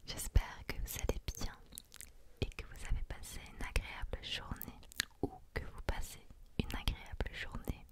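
A woman hosting ASMR, speaking in a gentle, hushed whisper as she chats and reads poetry. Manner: gentle, hushed, unhurried delivery. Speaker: ASMR host.

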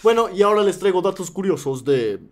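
A man's voice: sits high, fairly quick. A young man from Mexico with a Mexican accent, casually reading out curious facts on a podcast. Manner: presents curious facts in a relaxed way. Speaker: podcast co-host.

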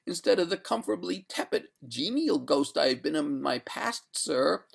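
A narrator voicing a genteel, haughty female water ghost in a storybook, pleading in drawn-out, breathy, melodramatic wails. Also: medium pitch, expressive, pitched above the performer's own voice. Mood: threatening.

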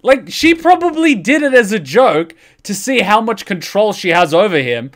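Animated young man streaming sarcastic reactions. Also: sits high, moderate pace. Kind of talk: casual, profane reaction commentary.

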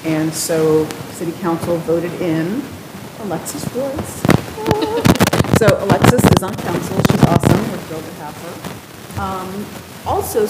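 A woman's voice: fairly low pitch, a little mellow. A woman chairing a community board meeting, casual and upbeat with many fillers, speaking into a table mic. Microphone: table microphone. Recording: echoey room with noise.

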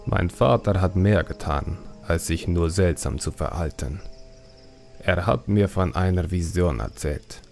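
A man voicing an earnest, thoughtful young male troll in a fantasy audiobook, serious and measured. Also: sits low, gravelly, a little mellow, soft background music. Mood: troubled confiding. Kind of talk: audiobook character acting.

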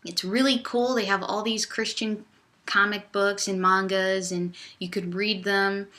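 Young woman, low voice, crisp bright tone, chatty rambling vlog, webcam in a small dorm room. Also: level tone, unhurried. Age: young adult. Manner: upbeat, chatty, loose rambling. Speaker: vlogger. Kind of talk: casual vlog chat. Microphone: laptop webcam at desk distance.

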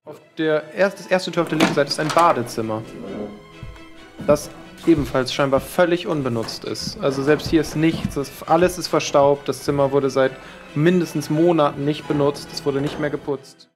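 A man narrating a horror tabletop game as game master, describing scenes in a deliberate, matter-of-fact way. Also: on the high side, soft background music.

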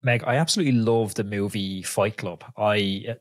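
A man hosting a podcast interview from a home studio, speaking into a boom-arm microphone in a chatty, teasing way. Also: normal speed, no room sound. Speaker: podcast host. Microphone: studio mic on a boom arm.

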